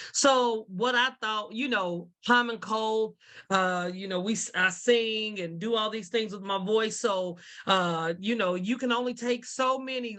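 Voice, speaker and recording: A deep-voiced woman tells a story on a video call with lively energy. She jumps into voices of the people in it, animated and warm in her telling, over a webcam mic. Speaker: former kindergarten teacher and speaker.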